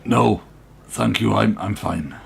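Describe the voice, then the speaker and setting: A man's voice: fairly low pitch, slow, vocal fry, breathy edge, exaggerated voice. A man playing a polite, subdued witness in a radio play, speaking hesitantly.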